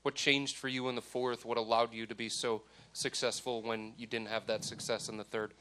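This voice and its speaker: A man's voice: medium pitch, level tone, moderate pace, bright, forceful tone, slight background noise. A man, a sports reporter, asking a direct question at a press conference.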